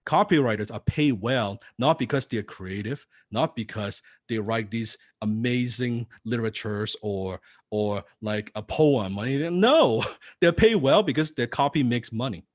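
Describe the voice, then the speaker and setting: A man's voice: medium pitch, lively intonation, unhurried, few pauses, no room sound, studio quality. A man teaching copywriting in a live seminar, non-native in English, firing rhetorical questions at the audience. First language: not English.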